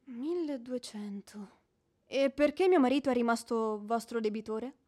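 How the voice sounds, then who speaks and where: Actress as a high-voiced, haughty widowed lady. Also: measured pace, mellow, natural voice. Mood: composed, dignified. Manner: haughty, formal, firm. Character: proud, formal widowed lady landowner, firm and easily offended. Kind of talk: theatrical radio drama acting.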